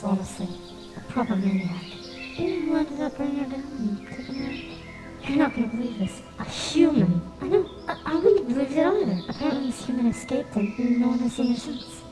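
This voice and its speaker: A woman's voice: sits high, lightly acted. A woman voicing a nervous, bumbling robot sphere in an amateur game fan dub, stammering and rambling. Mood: chatty, gossipy. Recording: echoey amateur mic, music underneath.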